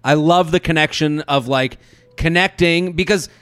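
A man in his thirties hosting a podcast, chatting casually with lots of fillers and animated asides. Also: on the high side, moderate pace, clear, resonant tone. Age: 30s. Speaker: podcast host.

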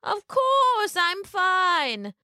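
A woman voicing a hungry male wolf who pretends to be a sick old grandmother, speaking in a slow, falsely sweet way. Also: very high voice, sing-song, pushed and bright, no room sound, clean studio sound, pitched above the performer's own voice, full character voice. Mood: sickly sweet. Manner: slow, put-on quavering sweetness.

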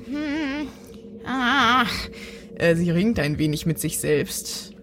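A woman playing a tabletop role-playing game, mixing improvised role play with casual chat in hesitant, drawn-out phrases. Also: a little high, big pitch swings, a bit bright, a little hiss or hum.

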